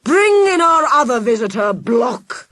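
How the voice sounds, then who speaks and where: A scheming villain mastermind who sneers and lingers over every threat, as a woman reader puts him on. He speaks slowly and deliberately in a dry, close recording. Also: on the high side, sing-song, fairly bright tone, no echo, voice pushed up. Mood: sneering menace.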